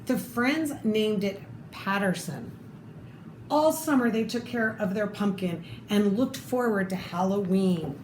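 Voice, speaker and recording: A woman reading a children's picture book aloud expressively, pausing to add her own comments. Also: medium pitch, darkish tone. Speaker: storybook reader. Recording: echoey room with faint background noise.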